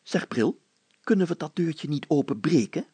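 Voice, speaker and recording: A man voicing a fussy, bumbling older mayor in a children's story, flustered and self-pitying, hemming, hawing and clearing his throat. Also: on the high side, expressive, moderate pace, dark tone, dry recording, pitched above the performer's own voice. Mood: indignant.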